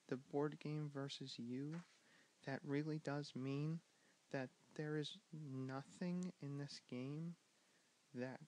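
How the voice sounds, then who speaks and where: A young man with a soft, gentle voice teaching a board game in a soft-spoken ASMR style, slipping in and out of whispers and pausing with ums. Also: a little high, rough recording. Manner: drifting in and out of whisper, hesitant with many ums. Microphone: handheld camera mic.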